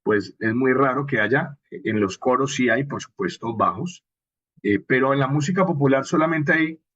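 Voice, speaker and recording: A man's voice: medium pitch, moderate pace, fairly bright tone, breathy edge. A man with a Colombian accent giving an informal, explanatory lecture as a workshop instructor, often checking in with short questions. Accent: Colombian Spanish. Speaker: workshop instructor on radio drama and podcasting. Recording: muffled, dull lo-fi audio.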